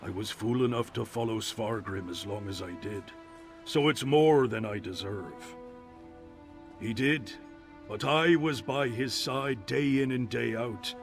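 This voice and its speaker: An actor playing a remorseful, loyal Nord warrior who talks sincerely in a video game cutscene, with music behind. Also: male voice, mid-range voice, unhurried, close to an everyday voice. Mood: regretful. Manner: regretful, sincere.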